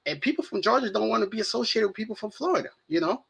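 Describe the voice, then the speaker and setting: A man's voice: high voice, animated, very fast, creaky, fairly bright tone, breathy edge. A man with a French accent, co-hosting a casual discussion and talking in an easygoing way, often asking "right?". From France. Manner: conversational, frequent "right?" and "you know" tags. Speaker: podcast co-host.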